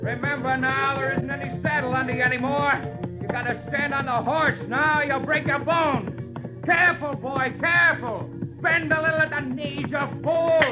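An actor playing a harsh, bullying circus riding master, barking orders and scolding in old radio drama style. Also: male voice, very high-pitched, fairly even intonation, moderate pace. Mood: urgent, commanding. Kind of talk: 1940s-50s radio acting. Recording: tinny old radio recording with music.

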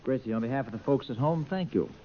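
A man's voice: mid-range voice, quick pace. A man hosting a vintage 1940s-50s radio variety show, delivering scripted, folksy comic patter. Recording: dull old radio broadcast recording.

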